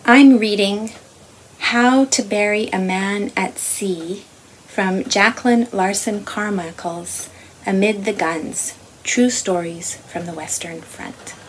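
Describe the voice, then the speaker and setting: A middle-aged woman reads literary non-fiction aloud in a deliberate way, phrase by phrase. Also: medium pitch, a little hiss or hum, low-quality recording. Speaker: author reading aloud. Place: small room with wooden beamed ceiling.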